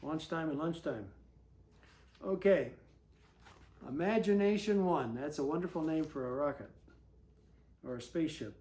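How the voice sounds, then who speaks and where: A woman's voice: low voice, a little slow, long pauses, warm tone, slight background noise. A woman reading a children's picture book aloud, breaking off to chat and put questions to her young listeners. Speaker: storybook reader.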